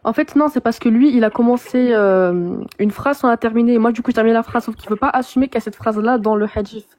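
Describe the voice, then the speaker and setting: A woman in a live online debate, explaining her side quickly and defensively. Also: fairly high pitch, a little mellow, no room sound. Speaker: debate participant.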